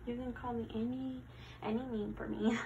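A woman doing an ASMR roleplay as a helpful new library worker, speaking softly and hesitantly and sometimes dropping to a whisper. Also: a little high, level tone, normal speed, gravelly, narrow, tinny audio, background noise.

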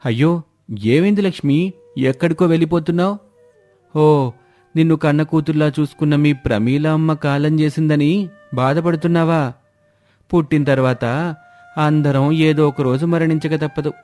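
Man playing a high-pitched, mellow, scheming butcher. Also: fairly even intonation, normal speed, long pauses, soft background music, close to an everyday voice. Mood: falsely soothing. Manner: smooth-talking, theatrical. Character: deceitful, cruel male butcher posing as kindly milk trader. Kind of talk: acted moral-story cartoon dialogue.

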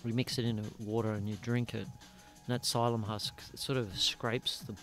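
A man's voice: mid-range voice, level tone, normal speed, fairly bright tone, faint music behind. An Australian man hosting a casual podcast chat in a small studio, talking in a rambling way and swearing freely. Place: small dim studio room. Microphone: studio mic on boom arm, headphones.

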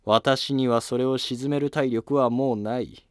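A man's voice: mid-range voice, fairly even intonation, measured pace, smooth, no echo, close to an everyday voice. Elderly royal court minister, played by a man: shrewd, formal, deferential, historical drama, dry recording. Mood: grave, resigned.

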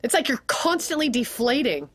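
This is a troubled wife in an audio drama. Her voice is high, and she speaks in halting, unsure bursts and then with blunt, teasing frankness. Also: big pitch swings. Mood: wistful, blunt. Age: 40s. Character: wife Franny, anxious and blunt, confused.

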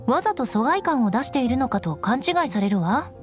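A woman's voice: fairly high pitch, big pitch swings, fairly quick, faint music behind. An actress playing a proud, cool young noblewoman in an anime game, composed and instructive with a haughty edge.

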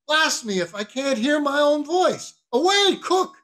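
A man playing a loud, bossy ship's mate. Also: extremely high pitch, very animated, a little slow, pitched above the performer's own voice, heavily acted. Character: blustering male ship's mate giving orders.

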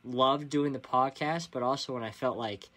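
A young man chatting casually on a podcast, easygoing and quick to laugh, with hesitant restarts. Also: mid-range voice, steady tone, a bit bright. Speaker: podcast co-host, guest. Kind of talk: casual chat.

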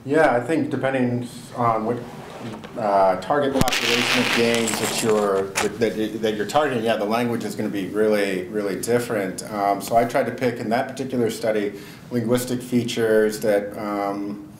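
A man's voice: on the low side, steady tone, moderate pace, noisy background. A middle-aged man answering audience questions after his research talk, casual and thinking aloud with fillers. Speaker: presenter. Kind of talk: academic Q&A answer. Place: seminar room, indoors. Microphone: far camera. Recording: echoey room.

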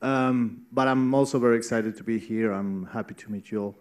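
A man's voice: lowish voice, steady tone, a little fast. A man from Argentina who introduces himself modestly at a workshop, speaking into a handheld mic. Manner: modest, apologetic. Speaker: workshop participant. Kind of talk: self-introduction.

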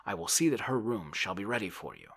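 A man's voice: on the low side, big pitch swings, fast, vocal fry, a bit bright, slightly rough, voice pushed up, exaggerated voice. A man voicing a brash, grasping, bullying character in an audiobook, blustering and scolding in a bossy manner. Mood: scornful, boastful. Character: brash, bullying, grasping Russian man, hectoring his friend.

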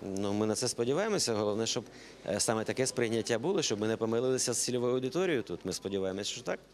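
Quick-talking middle-aged man. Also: medium pitch, expressive, a little hiss or hum. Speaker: embassy adviser interviewee. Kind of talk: news interview. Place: exhibition hall. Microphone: handheld reporter microphone.

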